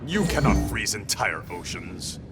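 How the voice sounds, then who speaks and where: A man's voice: on the low side, very animated, measured pace, over loud music. An actor playing a proud, stern undersea warrior king in a video game, with a regal, defiant, formal way of speaking. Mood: regal scorn.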